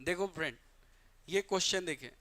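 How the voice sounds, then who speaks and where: Bright-voiced Bihari man teaching grammar. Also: high-pitched. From Bihar, India. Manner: addresses students as "beta", asks and answers his own questions; reads English examples out slowly and deliberately. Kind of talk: live online class in Hindi.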